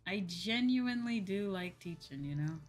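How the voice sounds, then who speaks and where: A woman's voice: mid-range voice, expressive, moderate pace. A young woman streamer chatting casually on a livestream, rambling and repeating her phrases for emphasis. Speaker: VTuber streamer. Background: background music.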